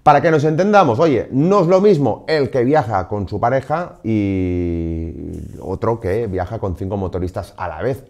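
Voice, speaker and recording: Lively man presenting gear. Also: mid-range voice. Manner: lively, emphatic presenting with frequent "pues" and "eh" fillers. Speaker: product presenter for a motorcycle gear shop. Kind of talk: scripted product explainer to camera. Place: indoor studio set behind a desk.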